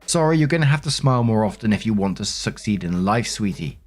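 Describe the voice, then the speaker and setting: A man's voice: fairly low pitch, lively intonation, quick pace, soft background music. A man voicing a condescending, insistent middle-aged male cashier in a narrated online story. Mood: patronising. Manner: patronising, insistent.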